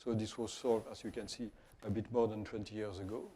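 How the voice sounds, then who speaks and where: Mellow-voiced male professor with Belgian French accent. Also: lowish voice, flat delivery, a little fast, slight background noise. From Wallonia, Belgium. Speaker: professor, guest lecturer. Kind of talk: scientific conference lecture.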